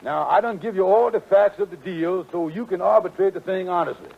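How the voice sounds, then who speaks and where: A bluffing, pompous conman from 1940s radio comedy. His voice sits very high and husky, rolling out mangled grand words in folksy dialect. The recording is thin and tinny, like an old broadcast. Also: fairly quick. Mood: sly. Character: scheming, fast-talking conman with bluffing bluster.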